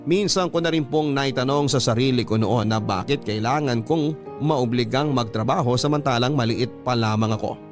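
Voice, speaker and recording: Filipino man narrating over clear background music. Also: medium pitch, normal speed. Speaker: radio story narrator. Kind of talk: dramatic first-person letter reading.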